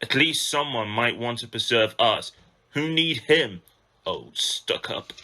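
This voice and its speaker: A man's voice: medium pitch, lively intonation, slow pace, sharp tone. A man voicing a suspicious, grumpy steam engine in a children's storybook, grumbling with scorn. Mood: scornful.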